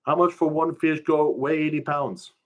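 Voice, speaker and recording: Male reader as island fisherman-teacher: easygoing, teasing pidgin, raised pitch, projected. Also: fairly even intonation, normal speed, dull audio, natural voice. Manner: loud, colloquial island pidgin.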